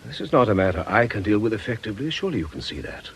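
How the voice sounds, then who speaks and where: Low-voiced, firm priest. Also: male voice, big pitch swings, slightly rough, full character voice. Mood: firm, calming. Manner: measured, questioning, authoritative. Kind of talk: radio drama acting.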